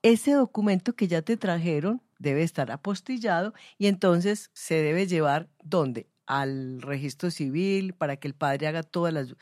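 A woman's voice: low-pitched, a little mellow, dry recording, studio quality. Woman host recapping conversationally. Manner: conversational, recaps and addresses listeners directly. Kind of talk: radio interview hosting.

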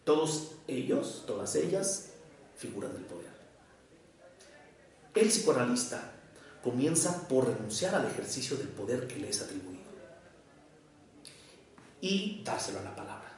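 A man with a Mexican accent giving a prepared lecture in a deliberate, didactic way, with emphatic pauses. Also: medium pitch, sing-song, a little mellow. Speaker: lecturer on psychoanalysis. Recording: echoey room, distant microphone.

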